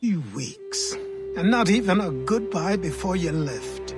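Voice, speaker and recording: An actor playing a stern but fond elderly mentor in a video game. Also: male voice, high-pitched, animated, measured pace. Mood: reproachful. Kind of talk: video game cutscene acting. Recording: music under the voice.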